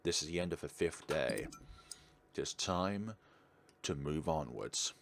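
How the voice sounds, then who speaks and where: A low-pitched man hosts a game playthrough. His delivery is lively and swings widely in pitch as he moves between reading narration aloud and making chatty asides. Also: unhurried, creaky, a little hiss or hum. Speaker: gaming video host. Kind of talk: let's play commentary, reading visual novel text aloud.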